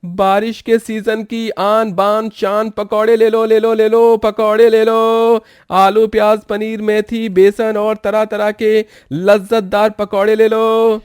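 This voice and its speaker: A man's voice: high voice, flat delivery, takes it slowly, hardly any pauses, clear, resonant tone, dry recording, studio quality. A man voicing a poor, earnest young village pakora seller in a cartoon moral story, with a plaintive, melodramatic delivery. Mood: loud hawking.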